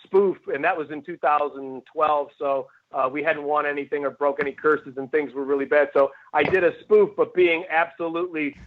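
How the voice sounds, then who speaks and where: Forties comedian guy from cleveland, kinda high voice, rambly and animated, tinny call audio. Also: normal speed, hardly any pauses. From Cleveland, Ohio. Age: 40s. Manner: rambling, animated storyteller who jumps between asides. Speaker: stand-up comedian guest. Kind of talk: casual interview chat.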